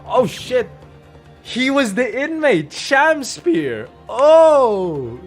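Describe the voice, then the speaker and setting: A man giving casual, excitable gaming commentary in slangy speech. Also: very high-pitched, drawn-out words. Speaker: streamer playing a visual novel. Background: game music.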